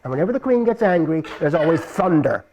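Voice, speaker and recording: A man narrates a folk tale for a live puppet show. His telling is lively and rhythmic, leaning on repetition the way fairy tales do. A faint hiss sits under his voice. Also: a little high, fast talker. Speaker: storyteller.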